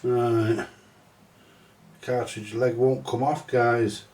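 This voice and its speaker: Slow, stuffy-nosed middle-aged man. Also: medium pitch, level tone, a little mellow, echoey room. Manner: slow, rambling, sweary, self-mocking. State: stuffy, snotty from a cold. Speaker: repair channel host. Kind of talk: casual workshop tutorial. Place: small cluttered electronics workshop, indoors. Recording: distant from the mic.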